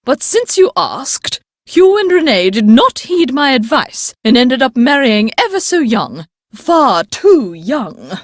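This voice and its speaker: Prim elderly English great-aunt, high swooping voice. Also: female voice, very animated, slow, dry recording, clean studio sound, exaggerated voice. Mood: prim, matter-of-fact. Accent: English, upper-class British. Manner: haughty, proper, emphatic, theatrical. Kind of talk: video game character dialogue.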